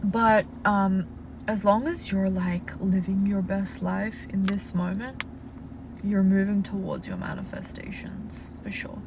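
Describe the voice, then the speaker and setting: A woman's voice: medium pitch, flat delivery, a little slow, background noise. A young Australian woman with Russian as her first language, casually answering viewer questions on a livestream with lots of "like" fillers. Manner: chatty, frequent "like" fillers, reassuring advice-giver. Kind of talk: casual live Q&A. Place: inside a parked car.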